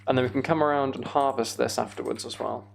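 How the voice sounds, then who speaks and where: A man's voice: mid-range voice, fairly even intonation, fast, creaky, a little hiss or hum. A British man chatting casually on a livestream while he plays a game, rambling and thinking aloud. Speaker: streamer.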